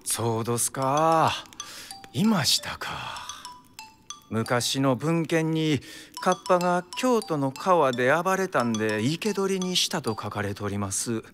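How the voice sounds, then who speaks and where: An actor playing a polite, composed superior with a Kyoto accent, measured and courteous, in a radio drama. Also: male voice, fairly high pitch, animated, a bit bright, faint music behind. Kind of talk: Japanese radio drama acting.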